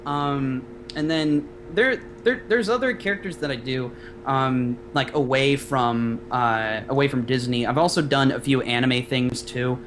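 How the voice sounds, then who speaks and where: A man's voice: medium pitch, unhurried, noisy background. Hesitant young man, chatty guest. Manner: hesitant, filler-heavy, breaks into character voices. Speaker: guest voice actor. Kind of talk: casual podcast chat.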